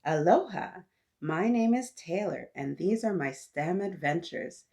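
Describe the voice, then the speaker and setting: A woman's voice: fairly low pitch, expressive, a little slow, vocal fry, mellow. A woman hosting a read-aloud for young children, talking to her little listeners in an encouraging, teacher-like way, with questions and praise. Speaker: children's story-time host.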